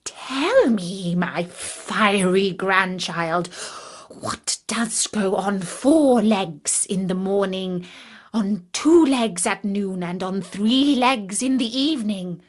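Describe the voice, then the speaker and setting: A woman voicing a very old, kindly but sly grandmother in a fairytale, hushed and deliberate. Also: mid-range voice, expressive. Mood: curious.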